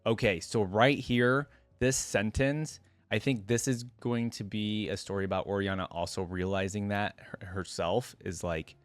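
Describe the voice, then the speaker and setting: A man with a low voice and a close, dry sound reads a story aloud. He narrates expressively, like a storyteller, and drops in casual reactions as a video creator would. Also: steady tone, normal speed, no room sound.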